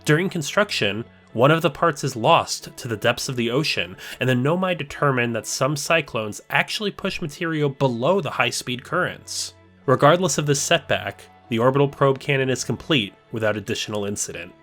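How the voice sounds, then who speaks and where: Male narrator for a scripted essay, measured and explanatory, mid-pitched voice, faint music bed. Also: expressive, fairly bright tone.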